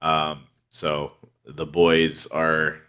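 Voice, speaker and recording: A man's voice: extremely low pitch, completely flat delivery, extremely slow, fairly bright tone, clear, resonant tone. A man with a head cold, hosting a casual football podcast in a laid-back, rambling way with lots of fillers. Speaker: podcast host. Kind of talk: casual fantasy football chat.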